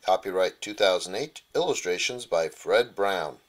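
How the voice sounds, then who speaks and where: Low-voiced man reading a bedtime story. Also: lively intonation, moderate pace. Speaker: author reading his children's story. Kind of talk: rhyming bedtime storybook narration.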